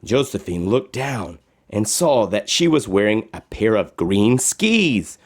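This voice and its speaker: A man reading a children's story aloud in a dramatic, expressive narration style. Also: on the low side, takes it slowly. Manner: dramatic, expressive with sound words. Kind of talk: animated read-aloud narration.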